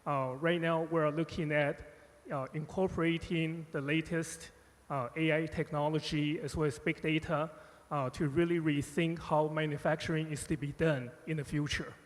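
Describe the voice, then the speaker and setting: A man's voice: fairly high pitch, level tone, moderate pace. A man speaking as a panelist, stumbling through answers with many filler phrases. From born Taiwan, raised United States. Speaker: panelist, company strategist. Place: conference hall stage. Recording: conference hall reverb.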